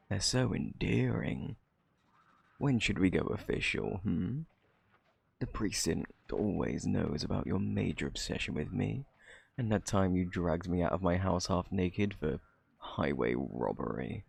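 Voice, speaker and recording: An actor playing a smug, flirty thief in a British accent, teasing and coy, in an ASMR roleplay. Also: male voice, deep voice, unhurried, gravelly, breathy edge, full character voice. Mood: flirtatious, teasing. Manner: teasing, drawling, theatrically coy.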